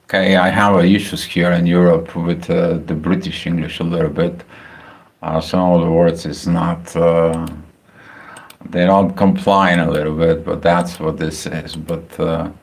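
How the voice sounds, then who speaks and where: A man with a Bulgarian accent talking casually about astrology on a livestream, halting with many filler sounds and restarts. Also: low voice, level tone, creaky. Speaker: astrology channel host. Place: home, house in Spain.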